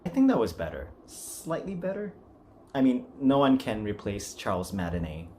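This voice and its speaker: Lively, giggly, casual man. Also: medium pitch, moderate pace, slight background noise. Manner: giggly, self-deprecating. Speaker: amateur voice acting challenge host. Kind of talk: casual, chatty vlog.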